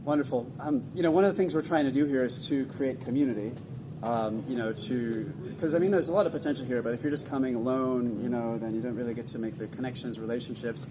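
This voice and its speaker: A man who runs the event, interviewing a guest in an upbeat, enthusiastic way. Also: fast talker. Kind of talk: casual interview. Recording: background noise and rumble.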